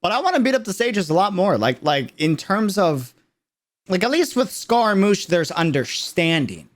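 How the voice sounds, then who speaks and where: A man's voice: high-pitched, very animated, moderate pace. A man giving a casual livestream reaction in an emphatic, slangy way, repeating phrases to drive them home. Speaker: streamer reacting to a game character trailer.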